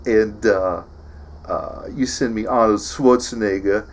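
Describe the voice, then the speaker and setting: A man playing a slow-witted, tough action-movie hero who stammers and mumbles through favours and boasts in a comedy sketch. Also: lowish voice, fairly even intonation. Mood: dim-witted bragging. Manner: halting, mumbling, stammering braggadocio.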